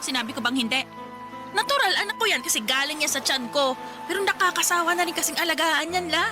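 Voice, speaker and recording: A woman's voice: high-pitched, expressive, fast talker, music in the background. A woman playing a sullen, resentful young mother in a Filipino radio drama, whining and talking back defiantly. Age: young adult. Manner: whiny, complaining, talking back.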